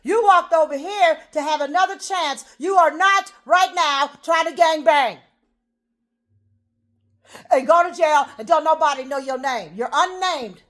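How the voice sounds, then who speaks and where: A woman ranting in a rambling, profane monologue, swinging between loud outbursts and low muttering. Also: unhurried. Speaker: vlogger.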